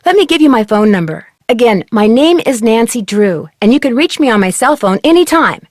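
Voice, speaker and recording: An actress playing a polite, curious young detective in an adventure game, with courteous, clearly enunciated questions. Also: female voice, fairly high pitch, sing-song, a little fast, no room sound, clean studio sound. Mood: earnest, polite. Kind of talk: scripted adventure game dialogue.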